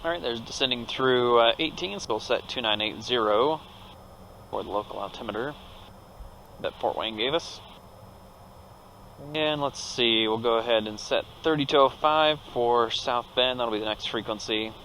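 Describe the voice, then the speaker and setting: A man with a bright, medium-pitched voice narrates his flying step by step. He is casual and technical, recorded in a cockpit with faint engine noise behind him. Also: moderate pace, poor audio. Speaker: pilot and flight vlogger. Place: cockpit of a turboprop plane in flight.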